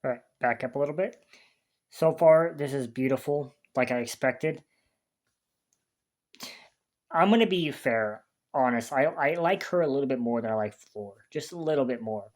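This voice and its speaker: A man's voice: medium pitch, moderate pace, long pauses. A man hosting a music reaction video, talking casually in a rambling way and often restarting his sentences. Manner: chatty, rambling, restarts sentences while searching for words.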